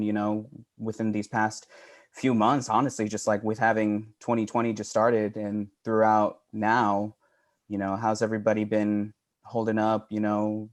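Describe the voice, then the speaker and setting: A man casually moderating a roundtable conversation. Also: lowish voice.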